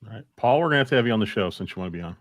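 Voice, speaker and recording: A man's voice: lowish voice, expressive, rapid-fire, dry recording, clean studio sound. A man hosting a casual live-stream chat, talking informally. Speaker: podcast host.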